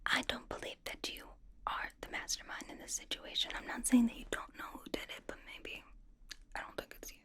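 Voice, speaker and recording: A woman's voice: fairly quick, close to an everyday voice. A woman playing a quirky, playfully suspicious young superheroine in an ASMR roleplay, coaxing and questioning in an airy whisper. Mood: confiding, playful. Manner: coaxing, playful mock-interrogation, repeats phrases. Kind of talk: ASMR roleplay, personal attention.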